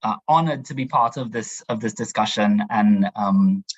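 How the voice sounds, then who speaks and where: A man with a Trinidadian accent introducing himself on a panel, talking in a halting way and often repeating words. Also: fairly low pitch, breathy edge, no echo. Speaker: panelist, LGBT advocate. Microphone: video call. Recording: dry, dull video call audio.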